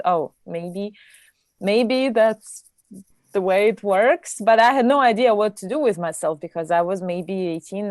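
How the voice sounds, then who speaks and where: A woman's voice: dry recording, studio quality. A woman in her thirties hosting an interview, reminiscing about her lessons and laughing often as she talks. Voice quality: low voice. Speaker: interviewer, host. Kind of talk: casual interview chat.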